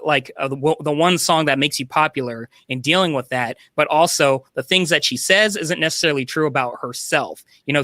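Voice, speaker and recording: A man talking casually and conversationally as a panel guest pitching a book, in an animated way. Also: no echo, studio quality.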